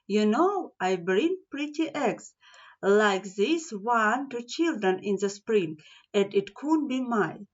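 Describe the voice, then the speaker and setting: A woman playing a friendly, eager male rabbit in a storybook, gentle and hopeful in manner. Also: mid-range voice, animated, slow, dull audio. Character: eager, friendly male rabbit who brings spring eggs.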